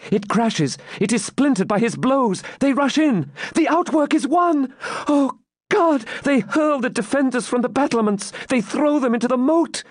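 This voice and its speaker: A man's voice: very high voice, expressive, moderate pace, dry recording, studio quality, voice pushed up. A man voicing a fervent, courageous young maiden with breathless, exclamatory, archaic storybook speech. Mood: urgent, fervent.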